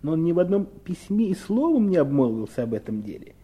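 A man's voice: a little high, very animated, fast talker, husky, muffled recording. An actor in a 1970s Soviet radio play playing a stern, impatient husband and businessman with an insistent, scolding manner. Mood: explaining, insistent.

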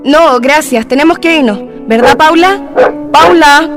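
A woman's voice: sits high, a little slow, faint music behind. An actress playing an impatient, reluctant young woman in a radio drama, with a Chilean accent. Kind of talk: Spanish-language radio drama acting.

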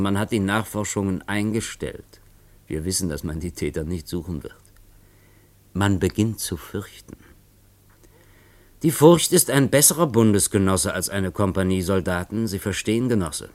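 An actor playing a cold, commanding revolutionary leader giving orders in a radio play. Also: male voice, deep voice, a little mellow, close to an everyday voice. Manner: measured, authoritative, cold.

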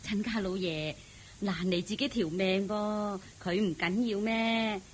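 A woman's voice: mid-range voice, a little slow, slight background noise, close to an everyday voice. A woman playing an anxious, exclaiming female character in a Cantonese radio drama, fretful, with a Hong Kong accent. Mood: concerned.

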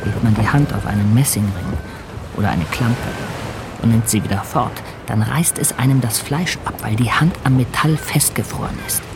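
A man's voice: medium pitch, close to an everyday voice. Grave ship's captain, played by a man, noisy sea ambience. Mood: awed narration. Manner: solemn, literary, measured delivery. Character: grave, questioning male captain of an Arctic expedition ship. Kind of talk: German radio drama acting and narration.

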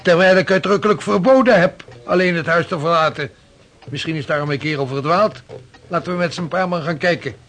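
An actor playing a stern, gruff skipper of a stranded crew in a period radio play. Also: male voice, a little high, a little fast, fairly bright tone. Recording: hissy old radio drama recording.

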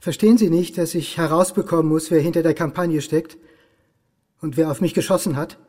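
A man plays an embattled academic in a radio crime drama. The voice is dark, husky and closely dry, and he speaks in terse, clipped phrases, stubborn and suspicious. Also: on the high side, fairly even intonation, brisk, no room sound, lightly acted. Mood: pressing. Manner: terse, clipped sentences, insistent questioning. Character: embattled male history lecturer, brooding, stubborn and suspicious. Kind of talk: naturalistic radio drama with interior monologue.

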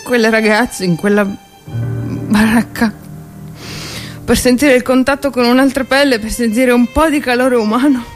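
A woman performing a theatrical monologue as a drunk, lonely woman soldier in a First World War trench, rambling and halting in her speech. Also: a little high, pitched above the performer's own voice, natural voice. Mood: desperate, pleading. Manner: slurred, halting, tipsy rambling.

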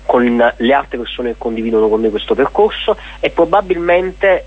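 A man speaks as an activist guest in a radio interview. His voice sits at an ordinary male pitch with a husky edge. He explains things earnestly and at length, in spontaneous speech. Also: animated, normal speed, low-quality recording. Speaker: activist guest presenting an event.

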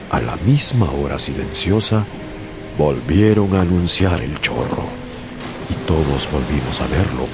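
An actor playing a sailor who narrates a whaling tale in a radio drama, in a grave storytelling manner. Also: male voice, very deep voice. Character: male sailor narrator recalling a doomed whaling voyage. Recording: radio drama with music behind.

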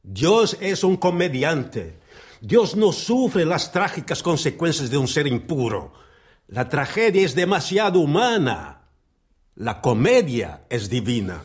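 An actor in a radio play voicing a bitter, haughty writer, scornful and world-weary. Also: male voice, on the high side, sing-song, unhurried. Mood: emphatic. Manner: haughty, declamatory.

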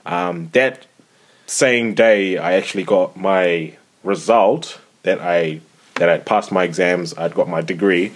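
Low-voiced young man, halting chat. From Zimbabwe. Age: 20s. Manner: hesitant, many fillers and restarts. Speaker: podcast co-host. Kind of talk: casual podcast chat.

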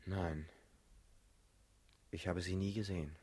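An actor playing an earnest, brooding secretary in his twenties in a German radio drama, guarded and terse, with a husky voice. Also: low-pitched, steady tone, slow pace, a little mellow, heavily acted. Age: 20s.

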